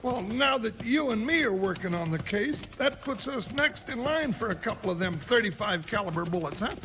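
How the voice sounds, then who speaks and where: Casting: sleepy cowboy sidekick, high-pitched and croaky, homespun, vintage radio western with noisy sound. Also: male voice, animated, moderate pace, bright, forceful tone. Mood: puzzled, earnest. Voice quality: creaky, gravelly high voice. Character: sleepy, folksy cowboy sidekick, good-natured worrier. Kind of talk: 1950s radio western acting. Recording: noisy old radio recording.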